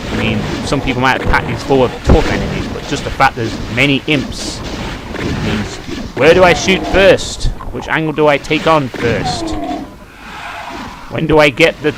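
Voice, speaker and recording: A British man giving casual, off-the-cuff commentary as he plays a game, wry and grumbling. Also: medium pitch. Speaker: let's play commentator. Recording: noisy home recording, game sound behind. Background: shooter game gunfire and clicks.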